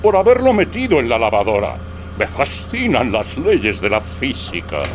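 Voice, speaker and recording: A man's voice: huge pitch swings, normal speed. An actor playing a comic, matter-of-fact Wise Man king in a children's radio play. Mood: wry, matter-of-fact. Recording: clearly noisy rumbling background.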